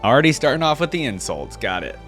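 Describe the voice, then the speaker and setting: A man's voice: medium pitch, sing-song, fast talker, a bit bright, soft background music. A man hosting a game reaction channel, giving informal, easygoing, chatty commentary.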